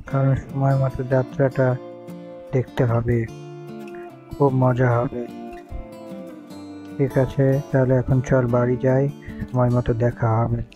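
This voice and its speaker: A man's voice: medium pitch, natural voice. A man voicing village friends out at night in a cartoon story, in plain, familiar, earnest dialogue. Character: eager male village friends planning a night outing.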